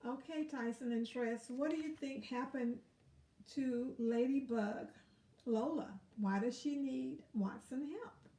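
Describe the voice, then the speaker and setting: Slow-paced woman reading a storybook aloud. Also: high-pitched, recorded from a distance, slight background noise. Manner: expressive, asks questions of young listeners. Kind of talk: read-aloud picture book narration. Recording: roomy echo, away from mic.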